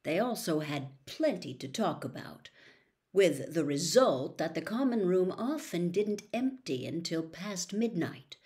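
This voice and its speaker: A woman's voice: lowish voice, lively intonation, a little slow, a little mellow. A woman reading a children's fantasy novel aloud as a storybook narrator. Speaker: audiobook reader.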